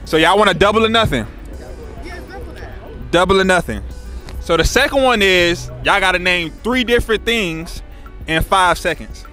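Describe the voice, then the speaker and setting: A man's voice: sits high, lively intonation, moderate pace, pauses often, pushed and bright, soft background music. A man hosting a street trivia game, talking in a playful, slangy way and laughing often. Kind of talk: casual hype street hosting. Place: outdoors on a city street.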